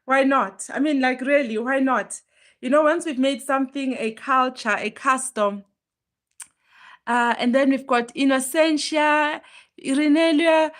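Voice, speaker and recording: A woman hosting a casual motivational live stream, her voice hoarse and strained from illness as she pushes it, chatting earnestly and greeting viewers by name. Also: high-pitched. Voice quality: normally very loud voice, pushing it now.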